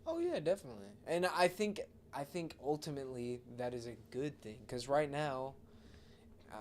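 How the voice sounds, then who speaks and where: A man with an average-pitched voice co-hosts a podcast. He talks casually and conversationally, with a faint layer of background noise behind him. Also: expressive, normal speed. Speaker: podcast co-host.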